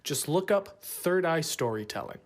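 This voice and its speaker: A man with a slightly high voice speaks as a podcast creator. He delivers a prepared appeal straight to his listeners. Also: big pitch swings, normal speed.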